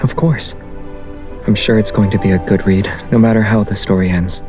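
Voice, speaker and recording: Man voicing a calm young man, low register, soft, understated, intimate game dialogue, faint music behind. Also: close to an everyday voice. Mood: gently teasing. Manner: unhurried, understated delivery.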